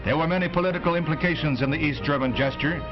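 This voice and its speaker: A man's voice: fairly high pitch, quick pace. An American man reading a 1960s newsreel script in a formal, declamatory announcer's delivery. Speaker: newsreel narrator. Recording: dull vintage newsreel soundtrack, loud music.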